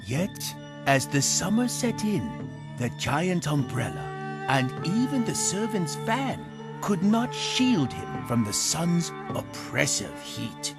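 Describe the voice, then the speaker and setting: A storyteller giving a scripted folktale narration with dramatic, deliberate phrasing. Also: mid-range voice, big pitch swings. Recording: music behind the narration. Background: prominent music.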